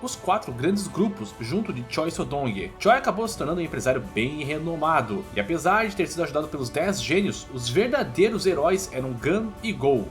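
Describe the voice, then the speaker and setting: A man with a Brazilian accent giving a scripted, colloquial narration of a comic story recap. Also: on the high side.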